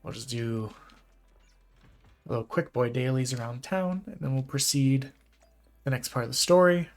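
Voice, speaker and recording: Male streamer, congested, gravelly, casual rambling chat. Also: medium pitch, moderate pace. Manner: relaxed, rambling, self-deprecating. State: stuffy, congested nose. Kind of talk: casual live-stream chat while gaming.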